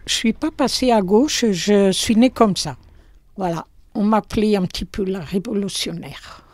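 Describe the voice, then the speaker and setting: An older woman from Portugal with a Portuguese accent, interviewed in her small shop, speaking slowly and hesitantly with many fillers. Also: medium pitch, darkish tone, no echo. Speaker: shopkeeper.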